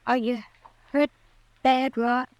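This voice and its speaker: A woman's voice: sits high, little pitch movement, takes it slowly, darkish tone, dry recording. An actress playing an anxious, loyal frontier woman in an old-time radio western, earnest and pleading. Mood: worried, pleading.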